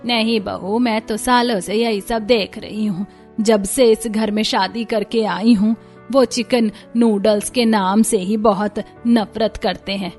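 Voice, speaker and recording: A woman's voice: mid-range voice, lightly acted. A woman voicing a firm, peacekeeping middle-aged mother-in-law in a cartoon story, reasoning and admonishing. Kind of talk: Hindi cartoon story voice acting.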